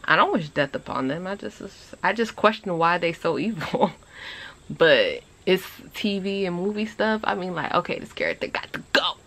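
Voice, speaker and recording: Young woman rambling to her phone outside, lowish voice with lots of fry, crickets chirping behind. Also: normal speed. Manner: animated, chatty, rambling with lively pitch jumps. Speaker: vlogger. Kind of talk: casual chat to camera. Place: outdoors on a house porch. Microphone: phone camera at arm's length.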